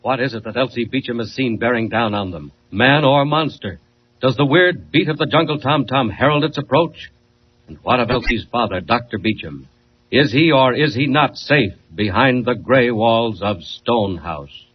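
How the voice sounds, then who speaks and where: A man's voice: medium pitch, animated, normal speed, slightly rough. An American man narrating a vintage radio serial as announcer, suspenseful, emphatic and theatrical. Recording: muffled old radio recording, faint noise.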